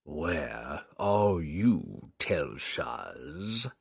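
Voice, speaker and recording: A man voicing a cruel, hulking male demon lord in an audiobook, growling slowly and menacingly. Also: a bass voice, sing-song, creaky, husky, voice pushed down, heavily acted. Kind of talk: audiobook character voice.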